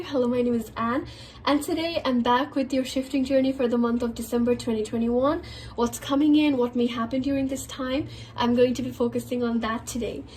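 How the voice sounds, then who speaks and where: A woman's voice: high-pitched, darkish tone, slight background noise. A woman reading tarot to camera in a casual, chatty way, a little sick, her voice nasal and cracking at times. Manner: chatty, heavy on filler words. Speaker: tarot reader.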